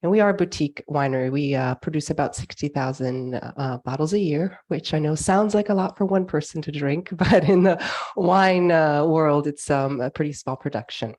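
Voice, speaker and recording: A woman's voice: deep voice, normal speed, hardly any pauses, darkish tone. A woman from California with a Californian accent, giving a slide lecture on a video call for a winery, chatty with frequent ums and uhs. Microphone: video call microphone.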